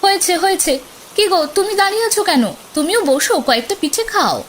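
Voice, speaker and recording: A village mother from a cartoon fairy tale, brisk but caring. Her pitch is extremely high and moves a lot. Light rain can be heard behind her. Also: big pitch swings, fairly bright tone, a little hiss or hum, exaggerated voice. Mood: indulgent.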